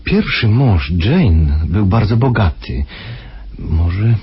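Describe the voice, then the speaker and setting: Radio drama husband: smooth-talking, guarded, very husky, lower-pitched, animated intonation. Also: male voice, full character voice. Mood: smoothly explaining. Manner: polite, measured, plausibly regretful. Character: courteous, evasive husband, smooth-talking master of the house. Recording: dull, muffled radio drama recording.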